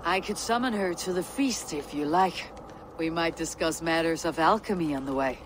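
An actor playing a composed, courteous warrior god in a video game, with measured, formal speech. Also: mid-range voice, close to an everyday voice. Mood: calm, curious. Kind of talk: scripted video game dialogue. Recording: clear background noise and ambience.

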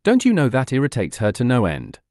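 A man's voice: medium pitch, huge pitch swings, a little fast, darkish tone, no echo. Fretful young male kender, curious and whiny, dry close sound; a man voices him.

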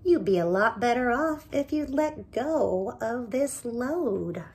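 Woman as fond old storybook lady, coaxing, lively. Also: fairly high pitch, slow pace. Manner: coaxing, affectionate.

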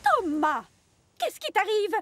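A woman's voice: very high voice, very animated, slow, background noise, exaggerated voice. A woman voicing a kindly, upbeat young female steam engine in a children's cartoon dub. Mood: concerned. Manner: friendly, upbeat.

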